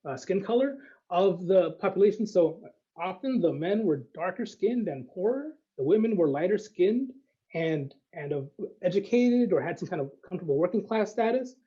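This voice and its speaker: A man speaking as an academic guest in an unscripted discussion, thinking aloud in a hesitant, halting way. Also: high voice, lively intonation, warm tone, slightly rough, highs cut off.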